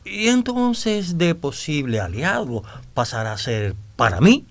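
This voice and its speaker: An actor playing a self-assured, scheming blackmailer in a radio drama, speaking in a deliberate, insinuating, theatrical way. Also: male voice, fairly high pitch, very animated, creaky, heavily acted. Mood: coolly threatening. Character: scheming, self-assured male blackmailer proposing a treasure alliance.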